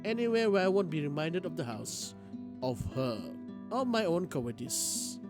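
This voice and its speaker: A man's voice: fairly high pitch, expressive, moderate pace. A man streaming a game, reading its text aloud dramatically and reacting as he goes. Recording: guitar game music behind the voice.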